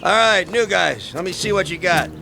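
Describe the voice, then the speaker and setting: A bossy American coach drilling ballplayers in a radio play. His voice is high for a man, barking orders over clear music. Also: expressive, normal speed. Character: loud, bossy baseball coach drilling players. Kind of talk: radio drama acting.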